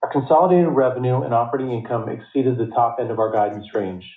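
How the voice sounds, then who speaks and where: A man reading a scripted earnings report as a company finance executive, in a formal, measured way. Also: medium pitch. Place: conference call line. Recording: tinny phone line, roomy echo.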